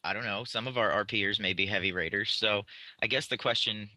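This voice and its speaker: A man's voice: lowish voice, a little fast, pushed and bright, no room sound, low-quality recording. A man on a gaming podcast panel, casually putting questions to the others.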